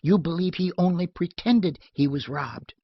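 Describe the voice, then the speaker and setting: A man's voice: sits high, normal speed, husky, no room sound, highs cut off, voice pushed up. A man reading an audiobook voices an anxious, bitter young woman who speaks in defiant outbursts.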